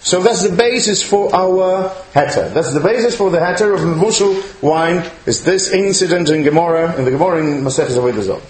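A man's voice: sits high, brisk, few pauses. A man lecturing as a rabbi, teaching religious law in an emphatic, questioning way to listeners in the room. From outside Chile, now living there. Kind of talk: religious lecture to a live audience. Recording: echoey room, faint noise, clicks.

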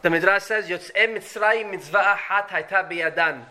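Casting: Syrian-born male rabbi, high and bright, earnest lecturer pushing to emphatic peaks. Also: normal speed. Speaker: rabbi giving a Torah talk. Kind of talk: Torah lecture.

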